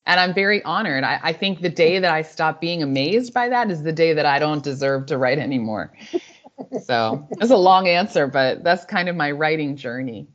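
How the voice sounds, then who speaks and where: A woman in her forties with a deep, low voice, a native Spanish speaker, answers interview questions with warm, reflective storytelling. She often restarts her sentences as she thinks aloud. The audio is slightly dull and lo-fi, like a remote call. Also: expressive, normal speed. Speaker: author and guest.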